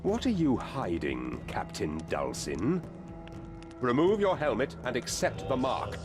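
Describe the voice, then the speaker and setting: A man's voice: medium pitch, wildly swooping pitch, a little slow, over music. A man voicing an imperious, suspicious priest of an evil god in a video game, ceremonial and commanding. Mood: suspicious, impatient.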